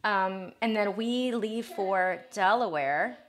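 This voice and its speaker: Woman co-host, thirties, casual and chatty, mid-pitched, upbeat delivery. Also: slow. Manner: chatty, upbeat, lots of fillers. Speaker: podcast co-host. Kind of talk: casual chat.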